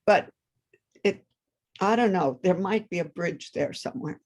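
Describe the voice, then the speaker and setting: A woman's voice: on the low side, unhurried, a little mellow. A woman giving feedback on a story over a video call, thoughtful and often breaking off and restarting. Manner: thoughtful, self-interrupting. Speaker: workshop participant.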